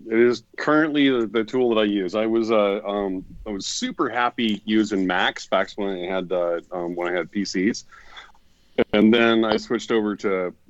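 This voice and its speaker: Low-voiced male co-host, easygoing and halting, dry and slightly muffled. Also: no echo. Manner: halting, many fillers, easygoing. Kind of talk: casual live-stream chat.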